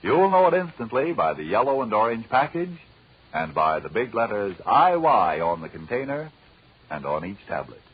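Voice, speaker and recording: A man working as a 1940s radio announcer, delivering polished, emphatic sponsor pitches. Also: very animated, moderate pace. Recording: muffled, husky-sounding 1940s radio recording.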